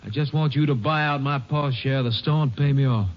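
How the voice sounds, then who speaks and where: An actor playing a bitter young ex-convict with a curt, sullen, threatening manner in a 1950s radio drama. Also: male voice, mid-range voice, fairly quick, lightly acted. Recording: muffled vintage radio recording with faint hiss.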